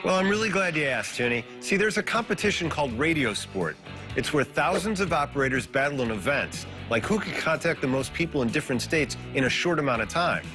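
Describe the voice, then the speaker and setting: Casting: male actor as nerdy, patient TV host, enthusiastic explainer, somewhat high voice, music behind. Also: animated, fairly quick, lightly acted. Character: earnest, nerdy, patient hobbyist host, adult man. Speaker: TV cartoon show host. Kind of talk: scripted comedy hosting.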